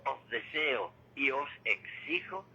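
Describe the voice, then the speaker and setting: Older man, very sharp forceful voice, emphatic caller, dull phone sound. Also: mid-range voice, lively intonation, slow, slightly rough. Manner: emphatic, repeats himself, seeks agreement. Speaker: guest caller. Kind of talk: opinionated conversational radio commentary. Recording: dull telephone line with faint noise.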